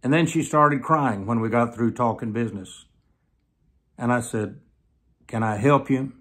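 A man in his seventies preaching through personal stories, speaking earnestly to camera with emphatic, drawn-out phrases. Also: mid-range voice, a bit bright. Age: 70s. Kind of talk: sermon storytelling told to camera. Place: small plain indoor room, seated.

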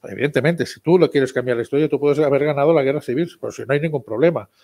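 A man's voice: medium pitch, racing, a little mellow. A man in his fifties from Barcelona, presenting his history book at a table microphone in a library hall, speaking emphatically and repeating words as he argues. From Barcelona, Spain. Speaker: book author.

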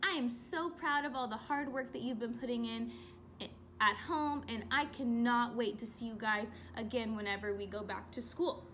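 A young woman teacher reading a children's book aloud and asking questions in an expressive, instructive way. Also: fairly high pitch, normal speed, a little hiss or hum. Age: young adult. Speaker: elementary school teacher. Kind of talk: children's read-aloud lesson. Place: small room at home.

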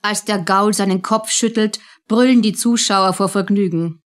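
A woman's voice: medium pitch, normal speed. A woman narrating a German radio play in a scripted, storytelling style.

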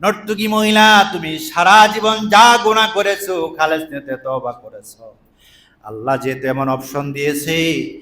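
A man preaching a story sermon, swinging between dramatic drawn-out calls and pleading phrases. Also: fairly high pitch, steady tone, sharp tone. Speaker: Islamic preacher. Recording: echoing PA-style sound.

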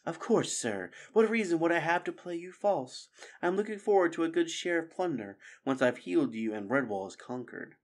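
A man's voice: a little high, lively intonation, moderate pace, voice pushed up, lightly acted. A man voicing a sly, scheming female fox in a storybook, ingratiating and falsely obedient.

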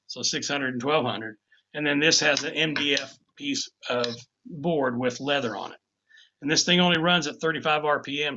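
A man's voice: medium pitch, pushed and bright. A man, casually explaining woodcarving tool sharpening from his home office and often repeating words as he goes. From Tennessee. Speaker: woodcarving instructor. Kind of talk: casual demonstration talk. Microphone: webcam on a video call. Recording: dull lo-fi video call audio.

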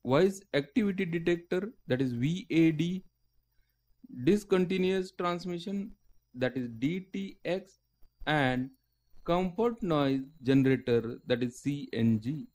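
A man reading a scripted technical lecture in halting phrases, often breaking mid-sentence. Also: on the high side, darkish tone. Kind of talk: scripted technical narration read aloud.

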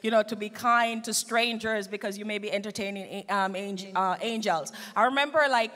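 A woman's voice: medium pitch, fairly even intonation, brisk, a bit bright. A middle-aged woman hosting a Bible study panel, speaking emphatically into a handheld microphone. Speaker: Sabbath school host. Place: large church stage, indoors. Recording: reverberant church hall sound.

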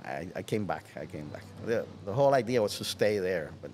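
An older Puerto Rican man with a Spanish accent talks at a low pitch, easy and unhurried, his voice ringing with a long reverb in a big indoor shop. He tells his stories in a relaxed way, and his words drop off softly at the ends of phrases. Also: slight background noise. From Puerto Rico. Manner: relaxed, reclining, trailing off into soft low asides. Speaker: guest. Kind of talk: casual interview. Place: large watersports shop, indoors. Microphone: headset.

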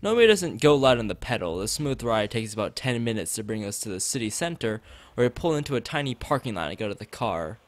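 Low-voiced man streaming a game. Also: big pitch swings, a little fast, few pauses, dry recording. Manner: rambling, drifting asides to chat. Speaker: game streamer reading a visual novel aloud. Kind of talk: live stream commentary mixed with reading aloud. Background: background game music.

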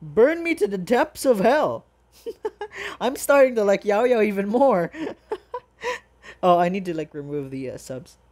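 A reaction video host chatting casually and excitedly in a rambling, exclaiming, informal way. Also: medium pitch, unhurried, pauses often.

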